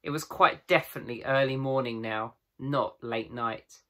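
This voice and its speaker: Bright-voiced man reading a storybook aloud. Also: medium pitch, moderate pace. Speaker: teacher reading to pupils. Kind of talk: storybook narration. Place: small room at home with bookshelves.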